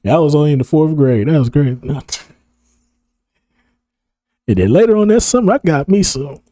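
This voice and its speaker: A hoarse man of about thirty, talking casually to camera in a rambling, slang-heavy way and laughing often. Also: on the high side, expressive, moderate pace, noisy background. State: hoarse, losing his voice. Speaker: video creator. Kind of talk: casual reminiscing monologue to camera.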